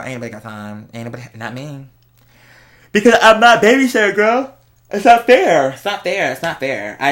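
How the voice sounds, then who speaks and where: A speaker chatting casually to viewers as host, telling stories in a sassy, slangy, exclamatory way with drawn-out emphasis. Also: medium pitch, bright, forceful tone. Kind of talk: casual storytelling chat to viewers.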